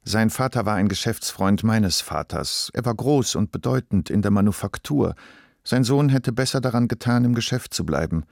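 A man plays a wealthy businessman in a radio drama, composed and ironic. The voice is low, with supple, varied intonation and a deliberate, calm manner. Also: gravelly, no room sound, clean studio sound. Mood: dismissive, calm.

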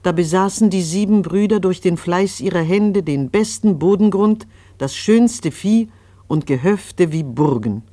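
A man playing a solemn chronicler, reciting a family history in measured scriptural style for a radio play. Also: high-pitched, mellow, a little hiss or hum.

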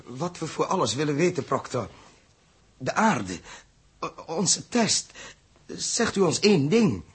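A very raspy, earnest captain. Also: male voice, fairly high pitch, big pitch swings, unhurried, full character voice. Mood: urgent, eager. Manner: hesitant, searching phrases. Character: earnest spaceship captain, weakened but in charge. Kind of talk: 1960s science fiction radio play acting.

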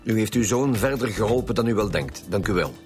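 An actor with a Flemish accent playing a calm, dogged chief inspector in a radio drama, measured and matter-of-fact. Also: male voice, fairly low pitch, faint music behind, lightly acted. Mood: calm, businesslike.

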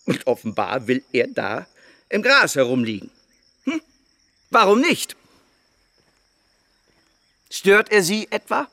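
An actor playing an eccentric, lecherous missionary preacher in a radio play, rambling and fawning with self-satisfied rhetorical questions. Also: male voice, medium pitch, vocal fry, fairly bright tone, no room sound, exaggerated voice. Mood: mild, philosophical.

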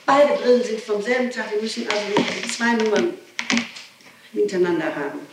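A woman speaks insistently and emphatically, as if making a statement under questioning. Her middle-pitched voice comes from far off in a very echoey room, on an old tape with clear noise. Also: normal speed. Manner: insistent, emphatic, repeats herself. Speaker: person being questioned by police. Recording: noisy, echoey archival tape, distant mic.

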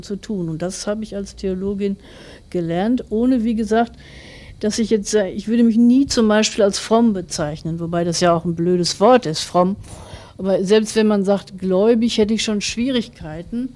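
A woman's voice: mid-range voice, a little mellow. A middle-aged woman giving a reflective interview as a writer, thoughtfully explaining her views in long, winding sentences.

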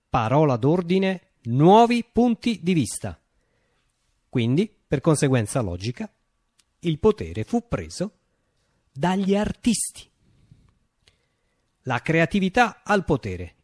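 Radio theatre: male actor as self-important, whimsical male artist-leader. Highish pitch, sweeping intonation, declamatory pauses. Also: a little mellow, no echo, clean studio sound. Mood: emphatic, proclaiming. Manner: declamatory, with dramatic pauses and swings. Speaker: actor performing a radio monologue.

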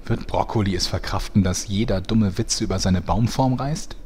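Man as deep, pompous parody narrator. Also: normal speed, a little mellow, a little hiss or hum, close to an everyday voice. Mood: dramatic, mock-suspenseful. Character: dramatic male soap-opera narrator, tongue-in-cheek and pompous.

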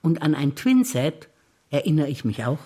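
An older German woman, a stage actor, reciting her personal memories calmly and wistfully in a theatre piece. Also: low voice, moderate pace, husky.

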